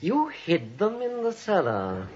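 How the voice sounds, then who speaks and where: An actor playing a sinister, sadistic villain with deliberate mock politeness, in old-time radio melodrama style. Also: male voice, high voice, huge pitch swings, a little mellow, full character voice. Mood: commanding, sinister. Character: menacing, smooth, sadistic villain nephew. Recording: dull vintage radio recording.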